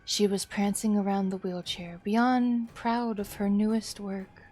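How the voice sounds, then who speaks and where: A woman's voice: medium pitch, fairly even intonation, a little slow, a little mellow, faint music behind. A woman streamer reading a horror visual novel aloud as a dramatic narrator.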